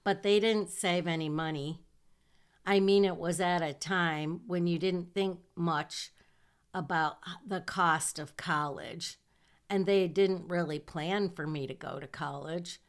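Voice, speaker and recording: A woman's voice: on the low side, steady tone. A middle-aged woman from southern Michigan with a nasal voice, hosting her own podcast in a chatty, self-deprecating way. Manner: slow, chatty, self-deprecating with small laughs. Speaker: podcast host. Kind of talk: casual personal monologue.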